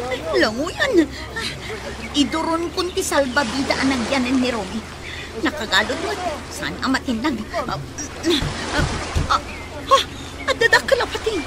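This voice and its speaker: A woman's voice: sits high, very animated, measured pace, long pauses. A woman playing a panicked, bossy young woman in a radio drama disaster, exclaiming urgently. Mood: frantic. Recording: noisy outdoor sound effects, crowd behind.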